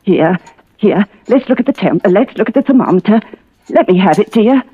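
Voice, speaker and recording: A woman playing a steady, kindly, brisk helper who speaks reassuringly in an old-time radio drama. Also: medium pitch, husky. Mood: calm, reassuring. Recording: dull, noisy old radio recording.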